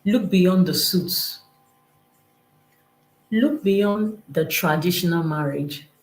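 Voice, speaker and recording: A woman's voice: on the low side, slow pace. A woman with a Nigerian accent gives informal, motivational teaching on a live stream, speaking emphatically and conversationally with a lot of repetition. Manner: emphatic, repetitive, conversational, acting out imagined remarks. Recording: roomy, echoing live-stream sound.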